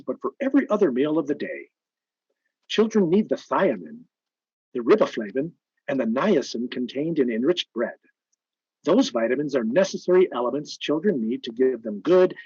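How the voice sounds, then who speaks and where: A man's voice: medium pitch, a little mellow, breathy edge, no echo. A man announcing and advertising in old-time radio style, with an emphatic, persuasive, polished delivery. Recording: dull, band-limited old-time radio sound.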